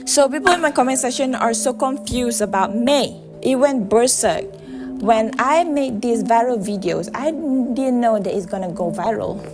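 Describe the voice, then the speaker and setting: A woman's voice: fairly high pitch, normal speed. Blunt Malaysian woman vlogging over music. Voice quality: blunt, profane, emphatic. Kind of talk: casual direct-to-camera monologue.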